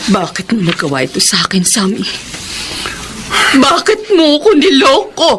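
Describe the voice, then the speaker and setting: A woman's voice: high-pitched, wildly swooping pitch, moderate pace. A woman with a Filipino accent playing an emotional, heartbroken betrayed wife and dutiful daughter in a radio drama. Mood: anguished pleading. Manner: emotional, tearful, melodramatic. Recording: drama music under the voice.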